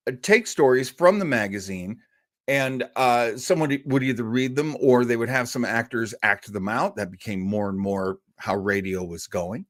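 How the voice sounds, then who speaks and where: Animated man telling radio history. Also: medium pitch. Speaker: host presenting old-time radio shows. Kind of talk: informal storytelling lecture.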